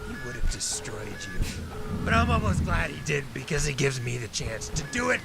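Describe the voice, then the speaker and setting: A man's voice: medium pitch, big pitch swings, moderate pace, gravelly. A man playing an unhinged, vengeful young supervillain in an audio drama, menacing and grandiose in his theatrical taunts. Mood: menacing, taunting. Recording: loud music bed behind voice.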